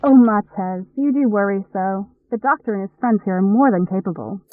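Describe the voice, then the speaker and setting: A woman's voice: mid-range voice, a little fast, phone-quality sound, faint music behind. A woman playing a condescending reassurer in an audio drama, heard through a thin radio-like filter. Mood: soothing, condescending. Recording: thin, band-limited radio-like sound.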